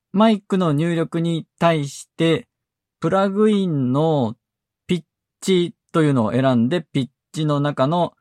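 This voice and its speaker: A man hosting a tech podcast, explaining software methodically, step by step. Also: a little high, no room sound, clean studio sound. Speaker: podcast host.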